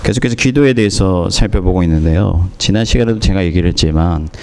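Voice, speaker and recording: A man preaching a sermon as pastor, hoarse from a cold, speaking in measured phrases with pauses and fillers. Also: low voice, a little mellow. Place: church in Moscow.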